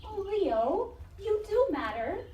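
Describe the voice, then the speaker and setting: A woman's voice: very high-pitched, big pitch swings, takes it slowly, warm tone, exaggerated voice. A woman playing a sweet, doting, encouraging young woman in a live audio drama, with gushing, singsong delivery. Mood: tender. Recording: echoey live stage, distant, faint noise.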